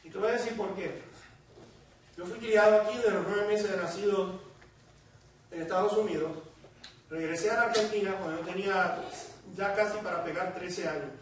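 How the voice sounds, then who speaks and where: High-voiced Puerto Rican preacher in echoey hall. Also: male voice, steady tone, normal speed, pauses often, a little hiss or hum. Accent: Puerto Rican Spanish. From Puerto Rico. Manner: storytelling, acting out quoted voices. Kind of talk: sermon with personal testimony. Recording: echoey hall, distant mic.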